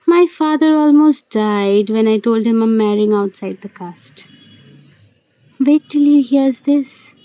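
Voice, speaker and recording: A woman's voice: a little high, slow, dry recording, muffled recording. A woman reading the part of a playful, cajoling young man in an amateur audio play.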